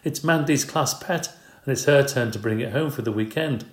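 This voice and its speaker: A man's voice: mid-range voice, normal speed, roomy sound, voice pushed up, lightly acted. A man reading aloud, voicing a weary unemployed father who defends himself.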